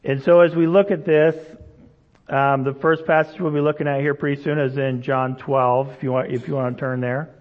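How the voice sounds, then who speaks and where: A man's voice: mid-range voice, level tone, moderate pace. Conversational man preaching in a reverberant hall. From Indonesia. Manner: conversational, storytelling, frequent "right?" checks. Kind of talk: sermon. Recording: dull sound, long hall reverb.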